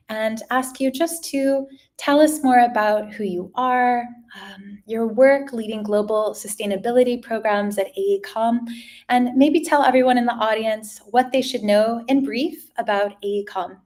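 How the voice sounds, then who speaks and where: A woman's voice: a little high, a little mellow. A woman hosting a live webinar, giving upbeat, scripted introductions with the odd filler. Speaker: webinar host.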